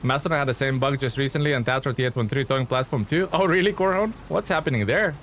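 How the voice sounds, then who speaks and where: A man from Lithuania, a game streamer chatting casually with viewers in a relaxed, rambling way. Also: mid-range voice, fast, slight background noise. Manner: relaxed, chatty, many fillers and restarts. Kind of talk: casual live-stream chat reading viewer comments.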